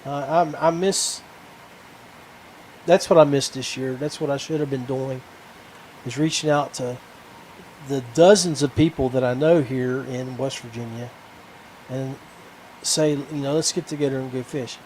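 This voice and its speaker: An American man hosting a podcast in a casual, rambling way, halting as he searches for words. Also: mid-range voice, fairly even intonation, darkish tone, a little hiss or hum, poor audio. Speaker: podcast host.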